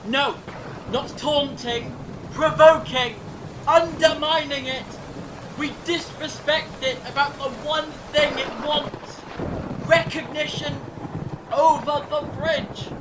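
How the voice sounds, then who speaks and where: An actor playing a clever, grave time-travelling adventurer in a fan audio drama, explaining things in a measured, dramatic way. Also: fairly even intonation. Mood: resolute. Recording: distant mic, rumbling storm-like noise.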